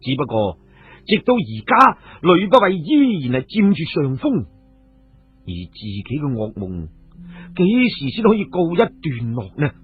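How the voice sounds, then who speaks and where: A man's voice: fairly high pitch, huge pitch swings, unhurried, breathy edge. A man with a Hong Kong Cantonese accent narrating an audio novel as a dramatic storyteller. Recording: tinny radio-like sound with music behind. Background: music.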